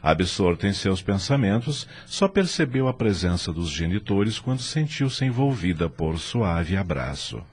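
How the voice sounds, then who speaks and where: Deep-voiced Brazilian male narrator. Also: big pitch swings, unhurried, few pauses. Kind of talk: scripted audio drama narration.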